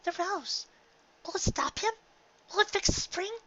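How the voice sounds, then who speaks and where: A man voicing a timid, anxious young female pegasus in a storybook reading, with a soft, hesitant, breathy falsetto. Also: animated, measured pace, muffled recording, heavily acted. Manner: hesitant, pleading.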